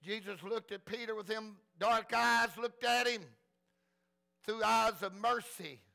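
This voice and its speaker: A man preaches in a high, gravelly voice. He is folksy and plain-spoken, retelling scripture with fervor and repeating phrases to drive them home. The tone is bright and forceful. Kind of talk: fervent sermon retelling Bible stories.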